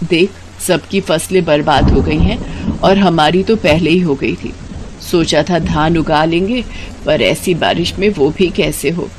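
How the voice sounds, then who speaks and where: A man's voice: fairly high pitch, measured pace, background noise, lightly acted. A man voicing a weary, scolding elderly village mother-in-law in a Hindi cartoon story, exasperated and chiding. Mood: weary, worried.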